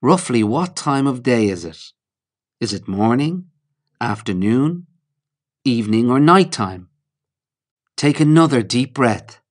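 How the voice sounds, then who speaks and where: A man narrating a guided mindfulness meditation in a calm, measured, instructional way, with short phrases and pauses. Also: mid-range voice.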